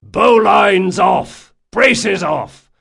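A man voicing a foul-mouthed young sea captain in an audiobook, shouting commands and theatrical insults. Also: high-pitched, extremely slow, creaky, slightly rough, voice pushed up, exaggerated voice. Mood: commanding, urgent. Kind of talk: audiobook character acting.

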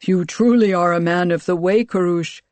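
A narrator voicing a towering, commanding military ruler in an audiobook, with a loud, booming delivery and formal, measured speech. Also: no echo, close to an everyday voice. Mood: amused, firm. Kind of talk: audiobook character dialogue.

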